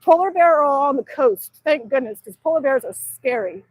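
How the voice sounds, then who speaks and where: A woman's voice: sits high, expressive, a little fast, no room sound, rough recording. A middle-aged woman from Alaska, chatting casually on a livestream outdoors in the snow and reading out viewer comments. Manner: chatty, reads out chat messages and answers them. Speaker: livestream host.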